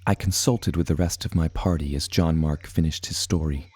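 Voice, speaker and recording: A man's voice: very deep voice, normal speed, darkish tone, breathy edge. An actor playing an earnest seafaring adventurer who tells his tale in formal, old-fashioned language. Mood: earnest storytelling. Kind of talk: scripted storybook narration.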